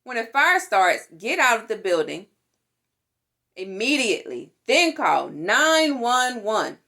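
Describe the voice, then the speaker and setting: A woman reading a children's picture book aloud in an expressive, deliberate way, with playful exclamations. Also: a little high. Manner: deliberate, animated, with playful sound words and exclamations. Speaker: storytime reader for a fire department.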